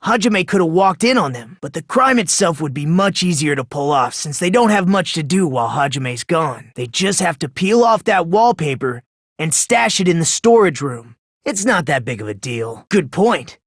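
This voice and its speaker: An actor voicing a polite, self-loathing, eerily excitable teenage boy in an English video game, musing softly and prone to breathless gushing. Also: mid-range voice, normal speed, dry recording, clean studio sound. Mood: calm musing.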